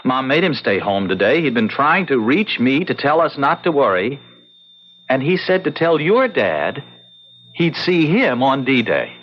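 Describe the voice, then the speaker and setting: An actor playing an earnest, excitable young computer man in a radio drama. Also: mid-range voice, measured pace. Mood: relieved. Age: young adult. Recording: muffled, noisy old radio drama recording.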